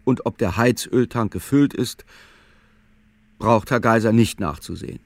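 A man playing an unhurried narrator in a literary radio play, with a gravelly voice and deliberate telling. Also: medium pitch, no room sound, close to an everyday voice. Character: unhurried male narrator following an old man's thoughts.

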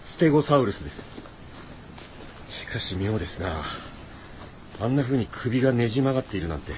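A man's voice: medium pitch, expressive, brisk, close to an everyday voice. An actor playing a courteous, pedantic scholarly man in a radio drama, explaining things in polite, old-fashioned phrases. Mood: puzzled. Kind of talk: Japanese radio drama acting. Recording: dull audio with faint background noise.

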